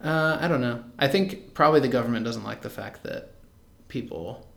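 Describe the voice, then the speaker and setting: A man's voice: mid-range voice, normal speed, creaky, fairly bright tone. A young man co-hosting a podcast in casual chat, laid-back and matter-of-fact with dry quips. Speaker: podcast co-host. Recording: roomy echo.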